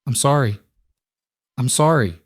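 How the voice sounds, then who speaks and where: A man's voice: mid-range voice, lively intonation, moderate pace, a little mellow, no room sound, clean studio sound, pitched above the performer's own voice, lightly acted. A man narrating a story voices an upset, pleading seven-year-old girl, small and tearful. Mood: tearful, apologetic. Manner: small, broken, tearful speech.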